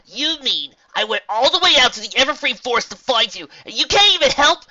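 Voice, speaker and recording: A woman voicing a brash, impatient young female flying pony, blunt and excitable. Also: a little high, fast, lo-fi sound, pitched above the performer's own voice. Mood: indignant.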